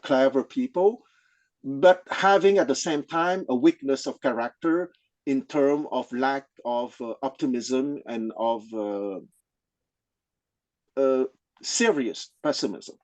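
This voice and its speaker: A man who is a non-native English speaker, explaining things in an informal scholarly discussion, haltingly and often checking with "okay?". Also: mid-range voice, a little mellow, dry recording, dull audio. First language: not English. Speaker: study group participant.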